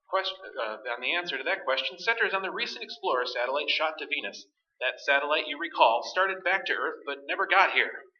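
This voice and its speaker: A man's voice: on the high side, pushed and bright, dull audio. A man playing an earnest, formal 1960s television newscaster delivering emergency bulletins in a staged radio play. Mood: probing, serious. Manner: urgent, formal announcing. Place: live stage performance, mic at some distance.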